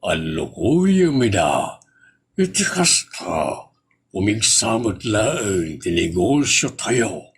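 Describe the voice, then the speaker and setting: A man with an Ilocano accent playing a wily, money-minded older eatery owner in a comedy drama, measured and crafty. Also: lowish voice, big pitch swings, slightly rough, heavily acted.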